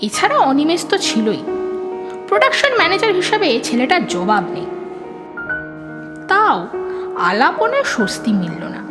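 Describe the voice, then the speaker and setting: A woman narrating a scripted horror story in a dramatic storytelling style. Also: sits high. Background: prominent background music.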